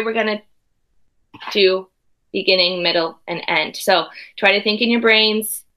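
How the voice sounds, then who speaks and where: A woman's voice: medium pitch, bright, forceful tone. A woman hosting a children's storybook read-aloud in a teacherly way, giving simple instructions and checking in.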